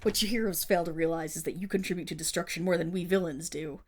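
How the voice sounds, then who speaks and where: A woman's voice: fairly low pitch, fairly even intonation, fast, slight background noise, natural voice. A woman playing a theatrical but bashful, lovestruck female supervillain in an ASMR roleplay audio drama. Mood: grand, menacing. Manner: theatrical villain declaiming that flips into flustered, affectionate chatter.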